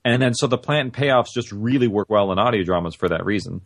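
Low-voiced man explaining his craft, close and dry. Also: quick pace, creaky, no room sound. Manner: thinks aloud, illustrates with imagined quotes. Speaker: guest, audio-drama writer and producer. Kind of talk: conversational interview, explaining craft.

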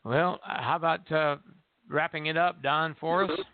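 A man's voice: a little high, fairly even intonation, unhurried, dry recording. Older man on a tinny phone line. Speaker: radio show host. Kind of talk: reading an article aloud and casual chat.

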